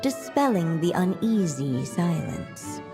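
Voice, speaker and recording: A woman's voice: fairly low pitch, sing-song, slow, full character voice. A woman playing a devoted, resolute storyteller witch, narrating a fantasy game tale in storybook style. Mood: measured storytelling. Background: music.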